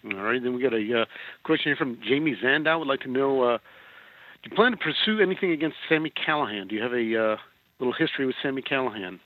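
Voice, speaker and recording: A man co-hosting, reading out listener questions in a casual, relaxed way. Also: medium pitch, quick pace. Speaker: co-host. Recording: tinny dry phone line.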